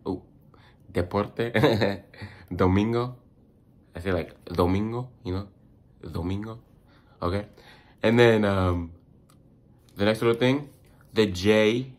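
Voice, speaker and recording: A man who is a native English speaker hosts a casual language lesson, talking informally with slang and repeating words to demonstrate their sounds. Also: low-pitched. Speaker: host of a language tips video. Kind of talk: casual vlog-style pronunciation lesson.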